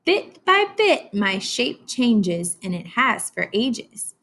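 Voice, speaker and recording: A woman's voice: on the high side, very animated, takes it slowly, bright, forceful tone. A woman with an American accent reading a picture book aloud as a teacher, explaining simply and prompting young listeners with questions.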